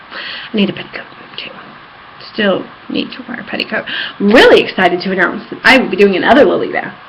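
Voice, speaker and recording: A woman chatting casually in a rambling personal vlog recorded at home, with a giddy fangirl enthusiasm. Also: medium pitch. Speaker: vlogger. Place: apartment room. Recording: dull lo-fi home recording, background noise.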